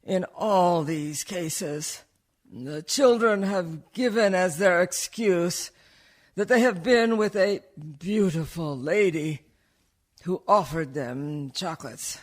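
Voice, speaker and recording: A formal newspaper reader, played by a man in a radio play. The voice sits slightly high and moves slowly, measuring out each item of the report. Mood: formal, ominous. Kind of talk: scripted radio play narration.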